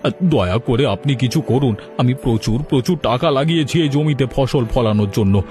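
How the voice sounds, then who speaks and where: An actor playing a wealthy, credulous and commanding village landlord in a cartoon story. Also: male voice, medium pitch, normal speed, darkish tone, lightly acted. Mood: pleading. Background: background music.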